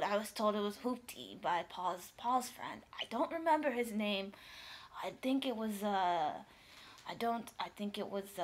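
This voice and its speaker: A woman's voice: mid-range voice, slightly rough. A woman voicing a naive young Mexican immigrant in an improvised comedy interview, with a Mexican accent and a hesitant, rambling, childlike delivery.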